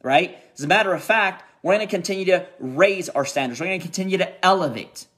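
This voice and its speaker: Male company leader, fairly high voice, rambling motivational pep talk with lots of check-ins. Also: a little fast, fairly bright tone. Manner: repetitive, filler-heavy, keeps checking in with "right?" and "okay?". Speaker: company leader addressing team.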